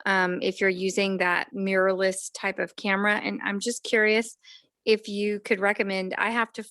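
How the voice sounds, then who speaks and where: A woman's voice: medium pitch, level tone, moderate pace, fairly bright tone, no room sound, clean studio sound. A woman attending an online workshop, speaking politely and a little hesitantly as she asks an informal question. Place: online video call.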